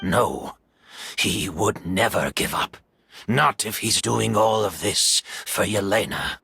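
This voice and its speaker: An actor playing a grave, resolute master in a video game, with a solemn, deliberate delivery. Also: male voice, on the low side, expressive, creaky, pushed and bright, slightly rough, full character voice. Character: grave, ailing master, resigned and resolute man.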